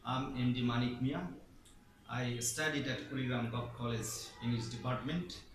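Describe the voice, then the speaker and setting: A man's voice: mid-range voice, steady tone, moderate pace, noisy background. Bangladeshi man giving a formal speech. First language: Bengali. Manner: formal, declamatory, with emphatic rising stresses. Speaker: English teacher. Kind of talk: prepared speech. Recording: echoey room, voice from far off.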